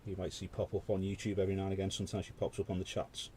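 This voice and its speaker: Deep-voiced British man, chatty. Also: level tone, rapid-fire, a little mellow, a little hiss or hum. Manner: rambling, conversational, full of asides. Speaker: streamer hosting a paint-along. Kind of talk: casual chat while painting.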